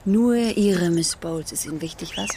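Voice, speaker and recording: A woman's voice: on the low side, moderate pace, dark tone, slight background noise, close to an everyday voice. An actress playing a haughty, opinionated young woman in a radio drama, speaking pointedly. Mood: piqued, cool.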